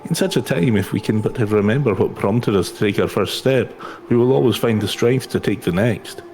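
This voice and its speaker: Poetic, low-voiced wandering minstrel played by a man. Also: a little mellow, slight background noise, close to an everyday voice. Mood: reflective, reassuring. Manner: flowery, measured, reassuring. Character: kindly, poetic wandering minstrel in a fantasy game. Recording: music in the background.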